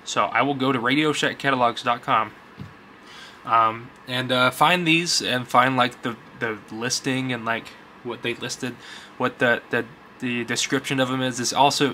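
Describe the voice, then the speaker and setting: Male vlogger, bright forward voice, mid pitch, informal rambling delivery with folksy phrasing. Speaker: YouTube vlogger, vintage electronics collector. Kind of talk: casual rambling vlog chat.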